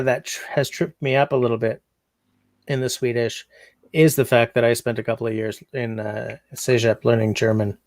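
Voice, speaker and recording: A man's voice: mid-range voice, creaky, dry recording. A man hosting a casual livestream, meandering as he reads out chat comments. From Canada. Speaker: livestream host.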